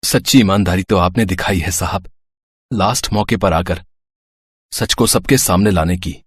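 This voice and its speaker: A man voicing a humble male servant in a cartoon story, speaking softly and deferentially. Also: low voice, moderate pace, breathy edge, no echo, clean studio sound. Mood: grateful. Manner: soft, deferential.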